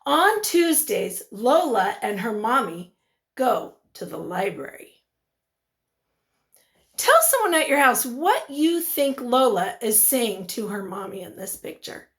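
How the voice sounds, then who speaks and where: A woman teacher reading a picture book aloud to young children, expressively, pausing to put questions to them. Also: on the high side, sing-song, a bit bright.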